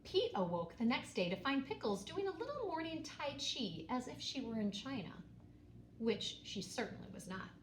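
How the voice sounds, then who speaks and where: A woman storyteller reads a children's book with very lively pitch and dramatic emphasis on key words. Her voice echoes in the room, with faint noise behind it. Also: moderate pace. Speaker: storyteller reading a picture book aloud. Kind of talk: expressive children's read-aloud narration. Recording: roomy echo, faint background noise.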